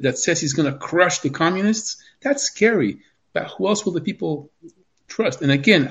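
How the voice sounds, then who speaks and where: A man from Argentina, a guest on a podcast interview, talking in a worried way and asking rhetorical questions, heard over a dull remote line. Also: a little high. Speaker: guest, obstetrician.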